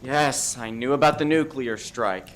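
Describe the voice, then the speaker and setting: A man's voice: mid-range voice, a little slow, close to an everyday voice. A man acting as a cornered undercover federal agent in a comedic radio play, earnest and exasperated. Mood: confessing.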